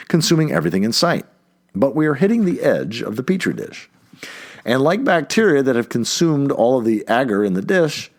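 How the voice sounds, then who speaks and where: A man reading a nonfiction essay aloud as scripted narration, with emphatic, deliberate phrasing. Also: lowish voice, vocal fry. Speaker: audiobook reader.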